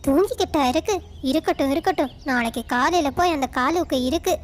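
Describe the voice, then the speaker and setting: A woman voicing a bossy, fretful mother crow who pleads and scolds in an animated moral story. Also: sits high, quick pace, lightly acted. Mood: annoyed, scolding.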